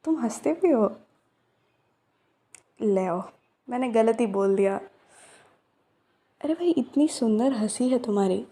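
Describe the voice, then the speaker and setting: Teasing young woman, mellow voice. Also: on the high side. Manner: teasing, chatty and playful. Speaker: voice actor in a romance roleplay. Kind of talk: ASMR roleplay, talking to a silent listener.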